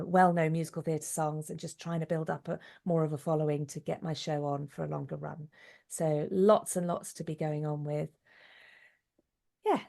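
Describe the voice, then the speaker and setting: A middle-aged woman giving a casual interview, telling stories in a chatty, animated way. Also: low-pitched, vocal fry. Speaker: interview guest, actress and director.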